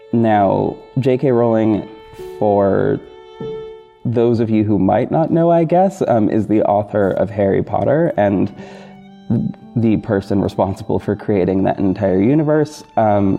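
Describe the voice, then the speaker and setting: Hesitant man with a very mellow, dark voice. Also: fairly low pitch, gravelly, muffled recording. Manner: hesitant, halting, self-interrupting. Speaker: podcast host, audio drama narrator and producer. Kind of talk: informal behind-the-scenes solo talk to listeners. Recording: soft guitar music bed under voice. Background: plucked guitar music.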